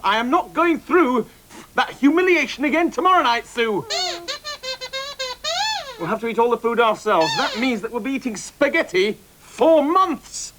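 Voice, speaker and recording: A man playing a flustered, excitable restaurateur in a children's slapstick comedy, exasperated and repeating himself. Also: very high voice, normal speed, background noise. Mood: excited panic. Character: flustered, excitable male restaurateur posing as Italian waiter.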